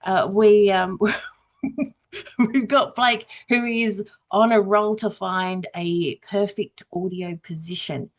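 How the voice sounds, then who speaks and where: A woman's voice: medium pitch, takes it slowly. A woman hosting a live online panel discussion, introducing and guiding the guests. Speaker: panel host.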